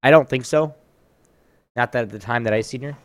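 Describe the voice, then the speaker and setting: A man talks casually on a podcast in a very dry recording. His voice is of average pitch, and he rambles along bluntly in loose, slangy language. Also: fairly quick, no room sound. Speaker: podcast co-host. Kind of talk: casual chat. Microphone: online voice chat mic.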